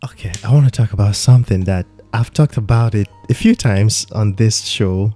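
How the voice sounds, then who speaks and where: A man's voice: fairly low pitch, normal speed, warm tone, soft background music. A man hosting a jazz interview podcast, talking in a rambling, easygoing way with frequent laughs and stumbles. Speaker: podcast host. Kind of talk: casual interview chat.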